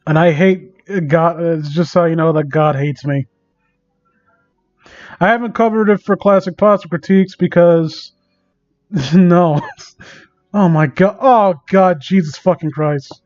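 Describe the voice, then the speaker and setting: A man doing a casual livestream reading and commentary, exasperated, sarcastic and sweary, with a halting delivery. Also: fairly high pitch, a little mellow, dull audio, soft background music. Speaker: live streamer reading and mocking online fan fiction.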